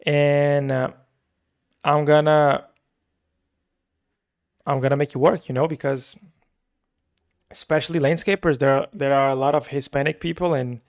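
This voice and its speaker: A man's voice: fairly high pitch, little pitch movement, unhurried, long pauses. Brazilian-accented man rambling through a recap. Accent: Brazilian Portuguese. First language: Portuguese. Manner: rambling, repeats himself, many fillers. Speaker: agency owner recapping his week. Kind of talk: casual weekly recap vlog.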